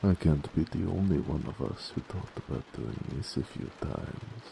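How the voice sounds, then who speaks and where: A man playing a devoted orc blacksmith in a romantic audio roleplay, deep and gravelly, tender yet possessive and stubborn, speaking slowly and earnestly. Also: dark tone, slight background noise, exaggerated voice. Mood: warm, teasing.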